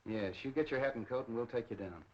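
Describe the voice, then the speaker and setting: An actor playing a businesslike police investigator in 1930s film style. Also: male voice, medium pitch, quick pace, lightly acted. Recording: muffled, noisy 1930s film soundtrack.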